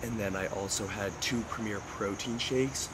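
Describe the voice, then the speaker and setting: A young man vlogging casually about his fitness day, hoarse from losing his voice, with a stuffy nose and a laid-back manner full of fillers. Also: fairly low pitch, moderate pace, very noisy. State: hoarse, lost voice. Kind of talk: casual vlog narration. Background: music.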